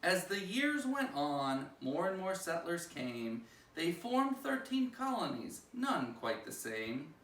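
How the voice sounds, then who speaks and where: A man reading a rhyming children's picture book aloud like a school principal at story time, with expressive, singsong phrasing. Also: fairly high pitch, takes it slowly. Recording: roomy echo, distant microphone.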